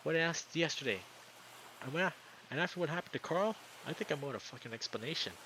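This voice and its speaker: A man's voice: a little high, animated, fast talker, sharp tone, a little hiss or hum, voice pushed up, rough recording. A man voicing an aggressive, defensive male lizard character in a visual novel, swearing and barking commands. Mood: indignant.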